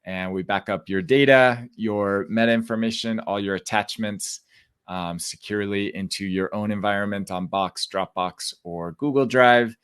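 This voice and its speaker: A man hosting a live podcast over a video call, reading a sponsor spot in a brisk, upbeat way. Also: lowish voice, a bit bright, clean tone, no room sound, studio quality. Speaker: podcast host.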